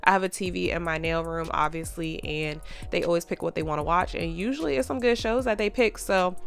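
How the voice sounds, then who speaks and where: A woman's voice: fairly low pitch, a little fast, creaky, faint music behind. A woman with a stuffy, congested voice, chatting casually to viewers as a nail tech vlogger, with lots of fillers.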